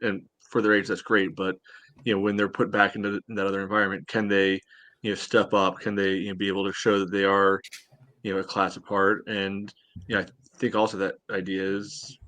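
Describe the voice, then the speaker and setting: A man debating casually on a sports podcast, arguing his points in halting phrases with frequent verbal padding. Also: fairly low pitch, fairly bright tone, dry recording. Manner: argumentative, halting, peppered with "you know". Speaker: podcast co-host.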